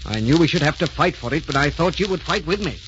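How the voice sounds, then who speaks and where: An actor playing an earnest, lovelorn naval commander in an old-time radio romance, speaking formally. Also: male voice, fairly high pitch, lively intonation, fast talker, fairly bright tone, slight background noise. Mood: hurt, pleading. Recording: muffled old radio broadcast, music behind.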